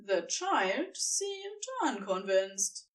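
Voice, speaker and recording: A woman's voice: medium pitch, sing-song, extremely slow, far from the mic. A woman reading scripted story narration as the narrator of an audio fiction piece.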